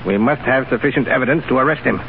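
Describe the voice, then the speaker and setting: An actor playing a curt, commanding secret police chief in a 1930s radio drama. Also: male voice, medium pitch, fast, natural voice. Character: cold Soviet secret police chief, man. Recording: tinny, hissy 1930s radio broadcast.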